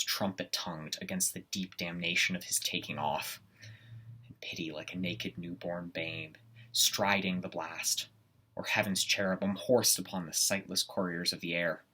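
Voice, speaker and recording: Man acting out brooding would-be regicide, tormented and ambitious, deep husky voice, deliberate classical stage soliloquy, weighted pauses. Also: bright, forceful tone, exaggerated voice. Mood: conflicted brooding.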